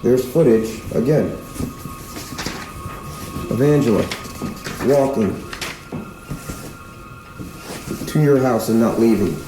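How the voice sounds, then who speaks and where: A man playing a stern small-town lawman in a fiction podcast, questioning deliberately and suspiciously, with pointed pauses and a gravelly voice. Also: medium pitch, lightly acted. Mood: pressing, suspicious. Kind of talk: fiction podcast drama acting. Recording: roomy echo with music underneath.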